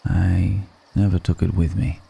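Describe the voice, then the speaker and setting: A man playing an ancient, refined, wistful male vampire in an intimate ASMR roleplay, speaking slowly and formally with measured pauses. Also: sits low, level tone, gravelly, dark tone, slightly rough, heavily acted. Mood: reflective, grave. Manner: slow, measured, pausing between phrases, formal wording. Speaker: voice actor in an audio roleplay.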